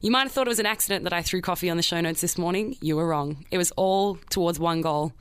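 A woman's voice: lowish voice, fast, clear, resonant tone, no echo. Female comedy podcast co-host, clean tone, emphatic mock-serious banter, dry recording. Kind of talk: playful comic banter.

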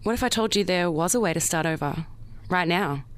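Lady playing a confident noir dame, talks fast, clear smooth voice, casual and persuasive, radio play. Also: on the low side, pitched below the performer's own voice, natural voice. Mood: coaxing.

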